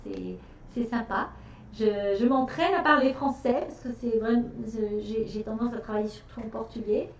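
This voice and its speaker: A woman's voice: on the high side, moderate pace, recorded from a distance, slight background noise. A middle-aged French woman talking casually on a live stream, hesitant, with many fillers and restarts. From France. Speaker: nutrition specialist hosting a live stream. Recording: echoey room, voice off-mic.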